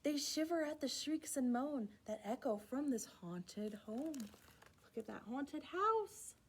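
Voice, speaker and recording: A woman hosting a children's storytime, reading a rhyming picture book aloud in an expressive, playful way with asides to young listeners. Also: high-pitched, takes it slowly, a little hiss or hum. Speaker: children's library storytime host.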